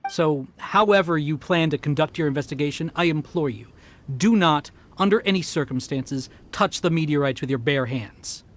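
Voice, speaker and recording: A man's voice: on the high side, normal speed, a bit bright, lightly acted. A man voicing a worried villager who earnestly warns visitors to be careful. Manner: earnest, cautious. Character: worried male villager warning strangers.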